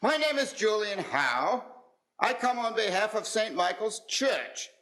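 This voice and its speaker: Male actor as earnest, well-mannered gentleman seeking donations, radio drama, high-pitched, forceful bright tone. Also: big pitch swings, unhurried, heavily acted. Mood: courteous, hopeful. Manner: courteous, formal, earnest. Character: polite, earnest gentleman collecting for church charity. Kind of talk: community theatre radio play acting.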